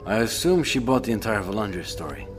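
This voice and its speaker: Casting: male actor as a knowing, low-pitched fleet general, understated and scheming, with faint music behind. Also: very animated, quick pace. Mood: calm, conspiratorial. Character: conspiratorial male space fleet general, calm and shrewd. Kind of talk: scripted science fiction audio drama acting.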